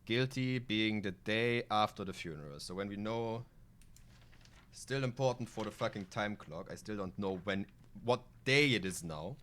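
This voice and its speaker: Very deep-voiced German man streaming games. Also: expressive, normal speed, a bit bright. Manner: casual, sweary, rambling asides. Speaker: video game streamer. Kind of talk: live gameplay commentary, reading game text aloud.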